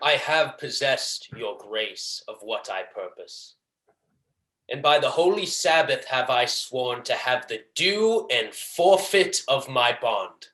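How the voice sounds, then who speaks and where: A young man playing a proud, vengeful merchant villain in a Shakespearean stage monologue, declaiming deliberately and tauntingly. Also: medium pitch, animated, sharp tone. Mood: solemn, threatening. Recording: echoey room.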